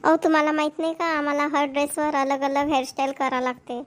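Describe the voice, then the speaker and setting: A woman's voice: sits high, level tone, fast talker, natural voice. A woman voicing a chatty, insistent young wife in a Marathi cartoon, with a Maharashtrian accent. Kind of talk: cartoon voice acting.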